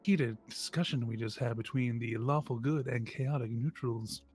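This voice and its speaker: A man's voice: medium pitch, normal speed, a little mellow, husky. A man co-hosting a tabletop gaming livestream with playful, informal banter.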